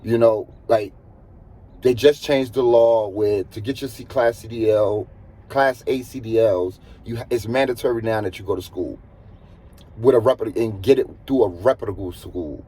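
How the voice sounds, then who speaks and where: A man with a husky voice, talking casually as a truck driver giving earnest, emphatic advice and repeating points for stress. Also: on the low side, normal speed, dark tone, low-quality recording. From United States. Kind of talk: casual advisory monologue.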